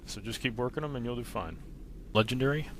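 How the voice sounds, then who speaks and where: A man's voice: medium pitch, fast talker. A man giving casual, laid-back instructional commentary as a game walkthrough narrator, explaining matter-of-factly. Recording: noisy recording with game sound behind. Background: video game sound.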